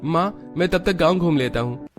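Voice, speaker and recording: A man's voice: a little high, normal speed, faint music behind, pitched above the performer's own voice, natural voice. A man voicing a smitten, polite young man from a wealthy family in a cartoon, with an eager manner. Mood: casual.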